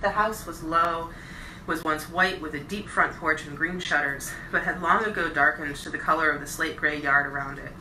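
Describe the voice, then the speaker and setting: A woman reading a novel aloud as a bedtime storyteller, with expressive, deliberate phrasing and stressed words. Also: sits low. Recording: roomy echo, distant mic, faint noise.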